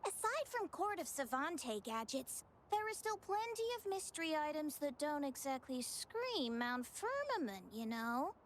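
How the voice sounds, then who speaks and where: An actress voicing a perky, teasing young antiques expert in a video game, playful and chirpy. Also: female voice, high voice, very animated, a little slow, hardly any pauses, slight background noise. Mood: breezy, explaining.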